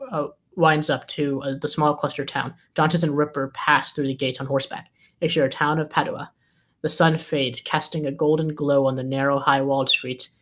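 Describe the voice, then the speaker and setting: A narrator reads screenplay directions aloud in a scripted delivery. The voice sits at a middling pitch.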